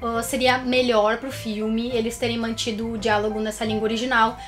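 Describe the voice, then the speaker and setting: A woman's voice: on the high side, little pitch movement, a little fast. A Brazilian woman co-hosting a conversational film review, explaining her opinions. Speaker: co-host of a film review show. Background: background music.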